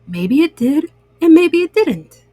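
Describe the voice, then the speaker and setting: A woman's voice: sits high, sing-song, takes it slowly, mellow, dry recording, clean studio sound, voice pushed up, full character voice. A woman voicing a playful, teasing male frog who tells spooky tales in a children's storybook.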